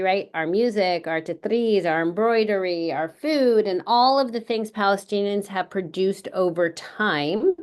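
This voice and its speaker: A middle-aged woman from California, an educator, speaking earnestly and in a measured way at a book launch panel, with frequent pauses and fillers. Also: medium pitch. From California, United States.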